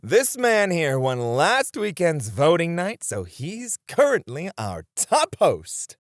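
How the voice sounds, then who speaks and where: A man playing a suave, playful club host in a flirty ASMR roleplay, with smooth, teasing, theatrical hospitality. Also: on the high side, wildly swooping pitch, slow pace, no echo, studio quality, exaggerated voice. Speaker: club host showing a guest around.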